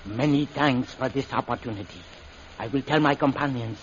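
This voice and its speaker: A man's voice: mid-range voice, moderate pace, lightly acted. Man playing a formal Chinese general, muffled recording. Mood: deferential, eager. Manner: formal, stilted. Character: eager, deferential male Chinese general. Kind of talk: 1930s radio acting. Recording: muffled, hissy old radio recording.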